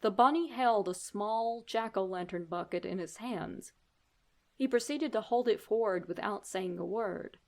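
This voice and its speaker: A woman's voice: mid-range voice, measured pace, darkish tone. Theatrical woman narrating spooky stories. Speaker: host and narrator of horror stories. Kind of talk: dramatic scripted story narration.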